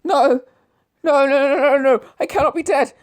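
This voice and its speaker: A woman's voice: high voice, steady tone, moderate pace, close to an everyday voice. A woman playing a flustered, panicky writer in his mid-thirties who has just been murdered, in an amateur radio drama. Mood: panicked. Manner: flustered, exasperated, demanding answers.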